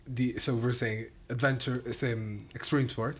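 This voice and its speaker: A man in his thirties hosts a podcast interview in a mid-pitched voice. He steers with brief prompts and follow-up questions, close to a studio microphone in a small bright room. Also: steady tone, moderate pace. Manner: short prompts and follow-ups. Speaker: podcast host. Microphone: studio mic on boom arm.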